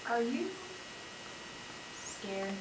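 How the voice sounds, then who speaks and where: A woman's voice: on the high side, big pitch swings, very slow, full character voice. A woman acting in a stage play as a worried, teasing companion keeping watch among zombies. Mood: probing, uneasy. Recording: echoey stage, clear background noise.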